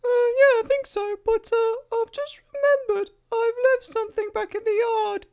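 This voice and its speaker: Very high, timid voice. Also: male voice, fairly even intonation, moderate pace, vocal fry, a little mellow. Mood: nervous. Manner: hesitant.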